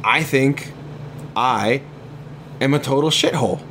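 A man's voice: mid-range voice, takes it slowly. Guy rambling in a laid back chat, lots of restarts, noise behind him. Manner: rambling, hesitant, many restarts. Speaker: podcast guest, comics artist. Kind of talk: casual chat.

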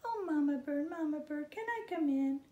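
A woman puts on a little newborn bird from a storybook, very high and eager. It pleads innocently in a sing-song, repeating its words with swooping pitch in an echoey room. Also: lively intonation, moderate pace, dark tone, muffled recording. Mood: excited, pleading.

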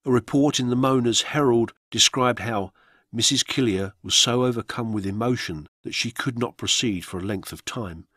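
A man reading historical accounts as scripted radio narration, in a measured, solemn storytelling manner. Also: medium pitch, pushed and bright, breathy edge, no echo, studio quality.

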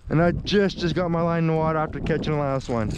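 A man's voice: fairly high pitch, fairly even intonation, fast. A young man filming himself fishing from a small boat, hyped and whooping as he talks viewers through each catch. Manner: hyped, whooping, keeps calling out to the guys. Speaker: fishing vlogger. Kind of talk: excited fishing commentary to viewers. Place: small aluminum boat on a windy lake. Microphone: action camera on the boat. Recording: heavy wind noise on the mic. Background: wind and water.

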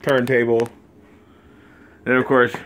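A man giving a casual filmed tour of his collection, listing items slowly and offhandedly with many fillers, recorded on a handheld phone in a small wood-panelled room. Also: medium pitch, flat delivery, fairly bright tone, slight background noise. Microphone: phone camera held while walking.

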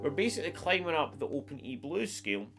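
A man in his forties, a little stuffed up, talks through a guitar lesson in an easy, friendly way, counting frets and strings as he goes. Loud acoustic guitar rings behind his voice. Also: fairly high pitch, very animated, fast talker. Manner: friendly, explains step by step with counts. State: stuffed-up nose. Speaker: guitar teacher. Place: small wood-paneled room at home.

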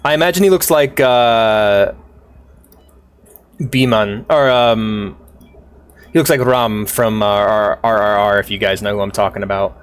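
A man streaming a story game, reading lines aloud and reacting with loud, profane excitement. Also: lowish voice, a little slow, pauses often, smooth. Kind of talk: gaming livestream, reading dialogue aloud.

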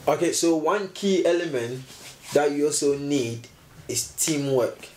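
A man's voice: a little high, a little slow, darkish tone, slight background noise. A young man on a sofa indoors, co-hosting a casual motivational chat and emphatically repeating his key lines. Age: young adult. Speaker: co-host, fitness vlogger. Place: indoor room, seated on sofa. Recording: roomy echo.

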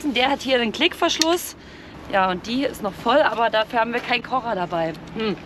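High-voiced woman vlogging casually over background noise. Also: lively intonation, a little fast. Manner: chatty, informal, explaining as she goes. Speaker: camping vlogger. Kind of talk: casual vlog narration. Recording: clearly noisy, outdoor and vehicle ambience.

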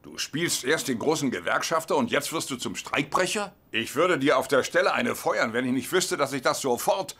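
A man's voice: mid-range voice, expressive, normal speed, hardly any pauses, bright, forceful tone, a little hiss or hum. A man acting in a German sitcom dub as a cantankerous, blustering old father-in-law, indignant and scornful.

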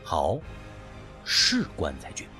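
A man's voice: deep voice, wildly swooping pitch, slow pace, bright, forceful tone, soft background music, exaggerated voice. A man playing a skeptical, sardonic first-person narrator of a folk ghost story audiobook, acting out dialogue. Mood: measured, wry.

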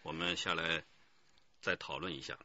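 An actor playing an authoritative, deliberate police detective chief in a radio crime drama. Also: male voice, sits low, steady tone, pushed and bright, muffled recording. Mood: commanding.

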